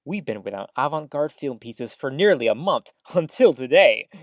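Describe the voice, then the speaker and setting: A woman's voice: very deep voice, animated, moderate pace, dry recording, studio quality. A woman playing a chatty, upbeat small-town radio host in an audio drama, with folksy exclamations and theatrical news patter.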